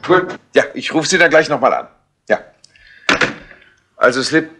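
An actor playing a grateful, flustered British colonel in a 1960s German crime radio play, hesitant and wordy. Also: male voice, fairly high pitch, animated, unhurried, pushed and bright. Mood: busy, bemused. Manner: hesitant, wordy, with stammered fillers. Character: male British colonel, grateful, flustered senior intelligence official. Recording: noisy old 1960s tape recording.

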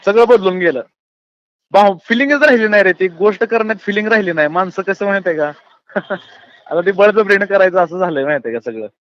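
Quick, high-voiced rural Maharashtrian man. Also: lively intonation, no echo. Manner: colloquial, earnest, philosophising, often addresses listener as brother. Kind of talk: casual phone chat, opinionated monologue. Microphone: phone call, close and dry. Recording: dull lo-fi phone call recording.

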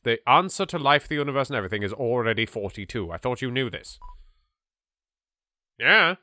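A man's voice: mid-range voice, big pitch swings, brisk, pushed and bright, no room sound, studio quality. A man with an English accent giving jokey, rambling, improvised let's play commentary. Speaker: gaming host.

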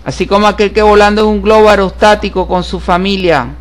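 High-voiced man reading tarot horoscopes. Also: steady tone, fairly quick. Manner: solemn, repetitive, emphatic about being certified. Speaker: tarot reader and astrologer.

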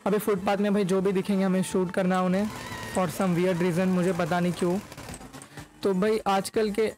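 Young man, high voice, flat even intonation, casual game streamer narration, close mic. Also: moderate pace, low-quality recording. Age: young adult. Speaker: gaming YouTuber. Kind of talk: casual gameplay commentary mixing Hindi and English. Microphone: close microphone in front of face.

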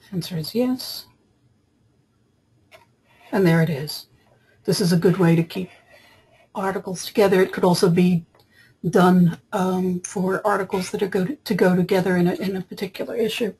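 A woman software trainer narrating a screen tutorial in an explanatory, step-by-step way, with a husky voice. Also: on the low side, fairly even intonation, normal speed, long pauses, rough recording. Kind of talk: screen-capture tutorial narration, semi-improvised. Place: voice-over for screen recording.

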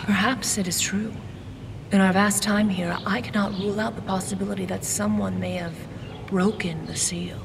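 An actress playing a mysterious, ominous watcher of dreams in a fantasy audio drama, speaking in a cryptic, deliberate way. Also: female voice, mid-range voice, steady tone, creaky, noisy background, close to an everyday voice. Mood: grave, secretive.

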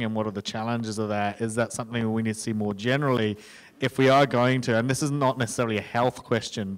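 Man, panel moderator, smooth resonant voice, lowish pitch, halting questions, handheld mic. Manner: thoughtful, halting questions with restarts. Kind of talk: panel discussion. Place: stage of an event hall.

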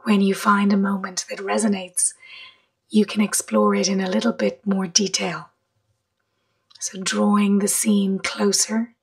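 A woman leading a guided meditation in a soft, breathy voice, gently, with long pauses between short phrases. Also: mid-range voice. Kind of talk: guided meditation, slow and measured.

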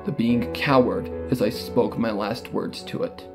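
A narrator reading a fan fiction story in the first person, with solemn, dramatic delivery and pauses. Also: medium pitch. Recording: music under the voice. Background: background music.

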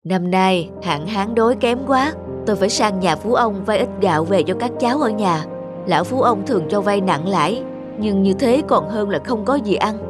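A man's voice: high-pitched, animated, moderate pace, over music. An actor playing a humble, poor village father in a cartoon folk tale, earnest and plaintive. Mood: worried.

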